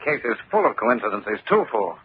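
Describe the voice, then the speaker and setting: An actor playing a shrewd, informal insurance investigator in an old-time radio drama, on a muffled old recording. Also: male voice, lowish voice, big pitch swings, brisk, slight background noise. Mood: pondering.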